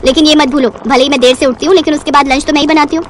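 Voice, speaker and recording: A woman's voice: high-pitched, steady tone, racing, slight background noise, lightly acted. A woman voicing a lazy, cheeky young daughter-in-law with a whiny, insolent manner in a comedy cartoon. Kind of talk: Hindi comedy cartoon dialogue.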